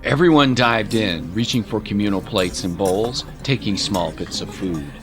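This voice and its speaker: Guy reading a dramatic audiobook, low voice, lots of expression. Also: animated, moderate pace. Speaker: audiobook narrator. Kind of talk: dramatic scripted story narration. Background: music.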